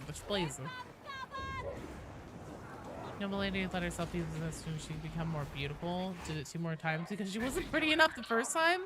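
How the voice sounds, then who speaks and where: A woman's voice: medium pitch, background noise. A woman chatting casually as a game streamer, informal and sweary, joking dryly with her viewers. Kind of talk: casual chat with viewers, reading and answering comments.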